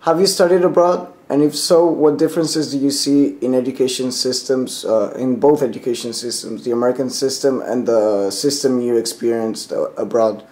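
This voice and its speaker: Young man, interviewer, mid-pitched voice, steady questions. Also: moderate pace, few pauses. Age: young adult.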